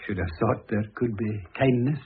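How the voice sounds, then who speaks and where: An actor playing an aging, wealthy husband in a classic radio drama, proud, plaintive and earnest. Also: male voice, on the low side, normal speed, a little hiss or hum, close to an everyday voice. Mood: wistful, unhappy. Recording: muffled phone-like old radio recording.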